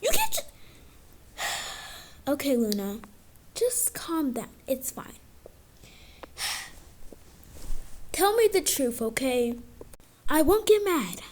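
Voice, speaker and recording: A woman's voice: high voice, takes it slowly, pauses often, full character voice. A woman playing an exasperated teacher losing patience with an online class, in an amateur skit with dramatic, sighing delivery. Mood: exasperated disbelief. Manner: exasperated, sighing, dramatic swings from calm to outburst. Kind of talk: amateur animated-skit voice acting, one side of dialogue. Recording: clicky home recording with background noise.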